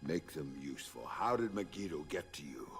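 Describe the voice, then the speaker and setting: An actor playing a grizzled, bitter middle-aged ex-spy in a video game, terse and menacing, with a gravelly voice. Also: male voice, very deep voice, sing-song, normal speed, heavily acted. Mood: cold menace. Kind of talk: cinematic video game acting. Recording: music under the voice.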